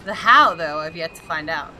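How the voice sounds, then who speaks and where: A woman reading visual novel narration aloud with deliberate, dramatic storytelling. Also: sits low, animated, sharp tone, slight background noise, poor audio. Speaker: gaming video host. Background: music.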